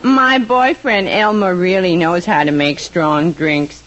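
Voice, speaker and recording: Slow-talking breezy maid from old-time radio comedy. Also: female voice, on the low side, fairly even intonation, fairly bright tone. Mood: matter-of-fact boasting. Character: breezy household maid telling tall tales. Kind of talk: 1940s radio comedy acting. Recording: dull vintage radio recording.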